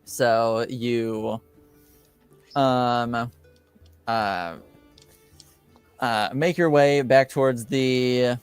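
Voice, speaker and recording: Male game master, mid-pitched, improvised halting narration over faint music. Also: steady tone, clean tone, no room sound.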